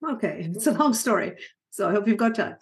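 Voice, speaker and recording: A middle-aged woman born in Sri Lanka and raised in Ghana, talking through her life story as a podcast guest, with soft laughs and frequent restarts. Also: mid-range voice, lively intonation, a little fast. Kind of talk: conversational storytelling interview.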